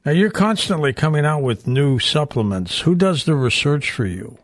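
A man's voice: on the high side, lively intonation, moderate pace, no room sound, studio quality. A man hosting a radio call-in show, interviewing in a conversational way with short questions. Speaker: radio host.

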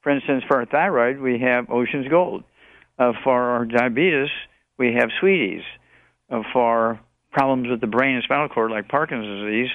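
Elderly man, creaky, on a phone-like line. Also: mid-range voice, no room sound. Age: 80s. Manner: rambling, list-like repetition. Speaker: guest health supplement promoter. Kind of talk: radio call-in question and answer.

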